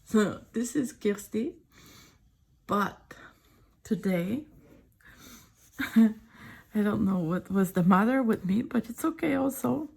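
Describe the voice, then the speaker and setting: A middle-aged woman with a French accent, speaking French as her first language, chats softly, often in a whisper, while showing her sketchbook drawings at home. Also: medium pitch, expressive, slow, vocal fry, long pauses, breathy edge. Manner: soft-spoken, often whispering. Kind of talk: casual chat.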